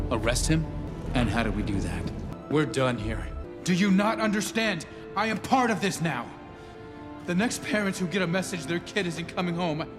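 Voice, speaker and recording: A man's voice: on the high side, close to an everyday voice. Earnest young councilor, played by a man, music behind. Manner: earnest, intense, resolute. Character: earnest, idealistic young inventor turned city councilor. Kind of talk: dramatic animated series dialogue. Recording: dialogue over clear background music.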